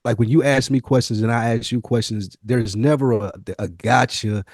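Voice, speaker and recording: A man co-hosting a podcast in casual conversation, halting and restarting his phrases as he makes a point. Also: medium pitch, fairly even intonation, darkish tone, no echo, studio quality. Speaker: podcast co-host.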